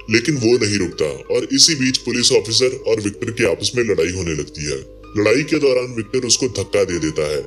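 A man's voice: lowish voice, a bit bright. A man giving a scripted narration of a film's story as a recap narrator.

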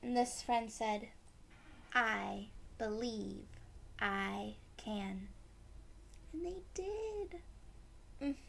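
A young woman reads a picture book to small children at an unhurried pace, with gentle, simple explanations. Her voice sits fairly high and has a creaky edge. She is recorded in a room at home. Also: expressive, slight background noise. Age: young adult. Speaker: read-aloud storyteller for children.

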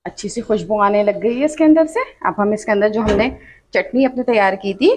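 Woman, cooking tutorial voice-over, chatty, instructive, medium pitch. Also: a little fast, a little mellow. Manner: chatty, instructive, often says "achha" and "dekhiye". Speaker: cooking channel host. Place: home kitchen.

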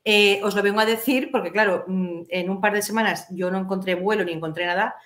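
A woman's voice: mid-range voice, steady tone, fairly quick, a bit bright. A middle-aged woman with an accent from Spain, chatting casually as a host on a video call. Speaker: channel host. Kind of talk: casual chat. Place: indoor room, on a video call.

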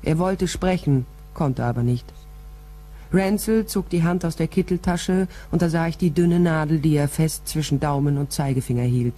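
A woman's voice: low-pitched, normal speed, dark tone. An actress playing a tough, wry private detective who tells her case in controlled, vivid first-person crime drama narration. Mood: tense, matter-of-fact.

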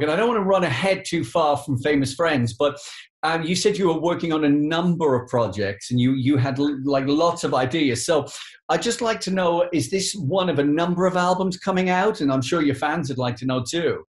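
A man's voice: a little high, animated, normal speed. A middle-aged man hosting a chatty interview from a living room, with wordy, roundabout questions. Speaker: radio host, interviewer. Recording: echoey living room video call.

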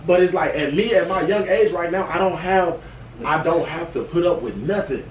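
A man's voice: fairly high pitch, moderate pace, lo-fi sound. A man of twenty in a casual debate with friends, emphatic, slangy and often repeating himself, recorded on an outdoor patio by a far camera. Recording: roomy, distant, faint background noise.

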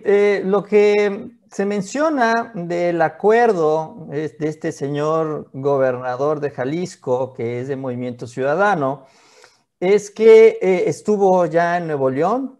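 The high-voiced Mexican man you would hear on an online politics show, patiently and circuitously explaining who agreed what with whom from his small office. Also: darkish tone. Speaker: political commentator. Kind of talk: conversational political commentary. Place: small home office, indoors.

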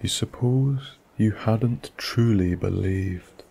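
A man with a Scottish accent narrating a soft-spoken bedtime story in a slow, hushed, soothing way that drifts into whispers. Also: fairly low pitch, creaky, slightly rough.

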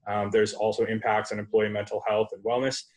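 A man's voice: lowish voice, little pitch movement, fairly quick, darkish tone. A man in his thirties on a laptop video call, answering as a panelist in an online discussion, thoughtful and full of fillers and restarts. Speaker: panelist, startup executive. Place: home room, indoors. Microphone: laptop webcam video call.

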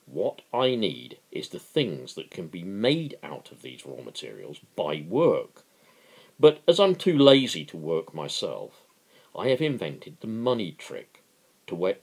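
A man voicing a working man who patiently lectures as a pretend capitalist in a novel, emphatic and explanatory, with a gravelly voice. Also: low voice, sing-song, slow pace, heavily acted, poor audio. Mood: lecturing.

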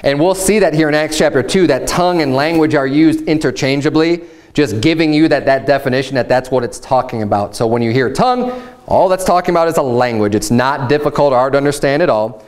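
A man in his thirties preaching a Baptist sermon at a pulpit, reading scripture slowly and rising to emphatic highs as he explains it. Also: a little mellow. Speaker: pastor. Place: church room, standing at a pulpit.

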